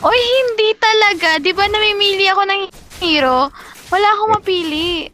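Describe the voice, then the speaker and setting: Casual female gamer, distinctly high voice, Filipino accent, lightly noisy voice chat. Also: normal speed, sharp tone. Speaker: mobile game player. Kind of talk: casual chat while gaming. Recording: background noise under voice chat.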